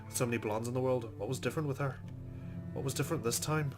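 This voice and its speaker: A man's voice: mid-range voice, quick pace, over music. A man reading a visual novel's narration aloud as a let's-play host, with casual commentary.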